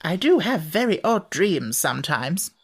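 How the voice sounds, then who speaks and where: A woman's voice: fairly low pitch, big pitch swings, a little slow, husky, exaggerated voice. A woman voicing a peevish, ancient little furry sand fairy with a haughty, grumbling manner. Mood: huffy.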